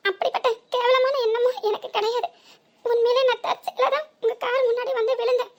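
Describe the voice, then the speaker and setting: A woman voicing a fallen old woman who groans, wails and pleads for help in an animated story. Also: extremely high pitch, a little fast. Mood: bewildered. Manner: wailing, pleading and groaning in pain.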